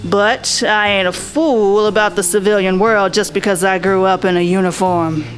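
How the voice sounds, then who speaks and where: A woman's voice: medium pitch, fairly even intonation, normal speed, close to an everyday voice. A woman playing a world-weary, wry 1940s private detective, narrating in a folksy, slangy noir radio style. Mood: resolute, world-weary. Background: music.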